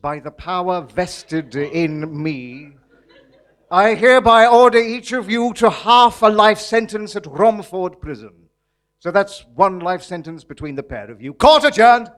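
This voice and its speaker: Casting: male actor as pompous prison governor type, high voice, grand drawn-out delivery, live stage comedy. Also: a bit bright. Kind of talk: live comedy sketch acting.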